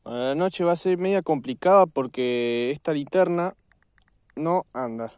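A man's voice: on the high side, unhurried, darkish tone. A man with an Argentine accent casually narrating an outdoor fishing vlog, chatting informally to his viewers. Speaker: fishing vlogger. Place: outdoors on a riverbank.

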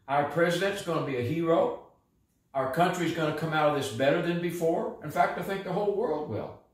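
Upbeat older pastor in an echoey room. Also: male voice, a little fast. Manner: upbeat, earnest, preacherly with lively swings in pitch and pace. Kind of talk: encouraging video message to his church. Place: living room in front of a fireplace, indoors. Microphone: camera a few feet away, no visible mic. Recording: echoey room, voice a bit far from the mic.

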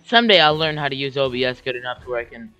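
A young man streaming a war strategy game from a desk at home, yelling at the game and putting on silly voices. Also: mid-range voice, expressive, very fast, smooth, dull audio, faint music behind. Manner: yelling and screeching at the game, silly mock accents. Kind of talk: excitable gaming commentary.

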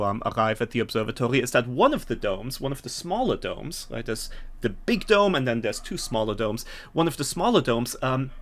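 A middle-aged man narrating a tabletop game as game master, conversational and halting as he thinks it through. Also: medium pitch, fairly bright tone. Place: home room, indoors, on a video call.

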